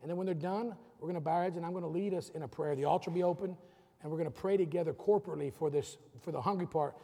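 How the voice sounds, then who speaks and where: A middle-aged male pastor preaching, his voice gravelly and somewhat husky. He pushes his points with rising emphasis. The sound rings with the long reverb of a church sanctuary. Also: fairly high pitch, very fast, mellow. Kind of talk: sermon.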